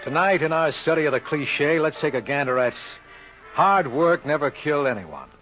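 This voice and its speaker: A wry lawyer-narrator over music. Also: male voice, a little high, lively intonation, normal speed, fairly bright tone. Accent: American. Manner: wry, breezy. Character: wry, self-assured lawyer narrating his own mystery case. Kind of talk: 1950s radio mystery narration. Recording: dull old radio recording.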